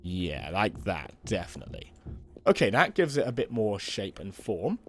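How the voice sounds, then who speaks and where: A young man with a slightly hoarse voice from a cold, giving relaxed, rambling commentary while playing a building game. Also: on the low side, normal speed, a little hiss or hum. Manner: relaxed, rambling, many "um" and "kind of". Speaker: let's play host. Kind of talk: casual gameplay commentary.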